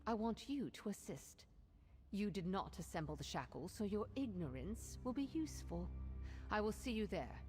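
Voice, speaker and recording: Woman acting a dark cult adept, medium pitch, cold, curt, commanding, video game dialogue, prominent music. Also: big pitch swings, moderate pace, lightly acted. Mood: cool condescension.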